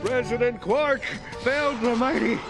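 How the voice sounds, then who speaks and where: An actor playing a vain, blustering superhero buffoon in a video game, talking in a pompous, self-congratulatory way. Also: male voice, very high-pitched, moderate pace. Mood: boastful, excited. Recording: music behind.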